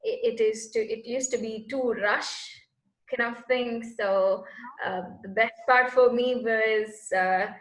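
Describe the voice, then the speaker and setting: A woman's voice: a little high, fairly even intonation, takes it slowly. A woman, earnestly explaining her path as an interview guest and searching for words as she goes. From Bangalore, India. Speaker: guest, space entrepreneur. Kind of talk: casual interview answers. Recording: echoey room.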